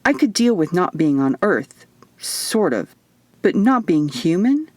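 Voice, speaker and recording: A woman reading her own first-person fiction audiobook as narrator, in an expressive storytelling style. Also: fairly low pitch, measured pace, dry recording.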